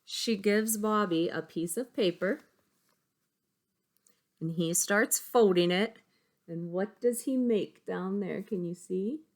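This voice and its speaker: A woman's voice: mid-range voice, slow, long pauses. A woman schoolteacher reading a picture book aloud to young pupils, using simple phrasing and stopping to ask them questions. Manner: simple child-directed phrasing, checking questions.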